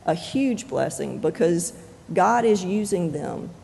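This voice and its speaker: A pastor preaching a sermon in measured, deliberate phrases with frequent pauses. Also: medium pitch, lively intonation, creaky, a little mellow. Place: church sanctuary. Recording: long reverb of a church sanctuary.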